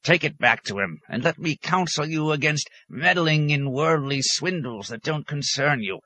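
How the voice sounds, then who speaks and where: Man acting a cranky ancient desert hermit, pitched up. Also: expressive, normal speed, bright, forceful tone, no room sound. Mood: cross, grumbling. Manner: grumbling, crusty, archaic scriptural turns.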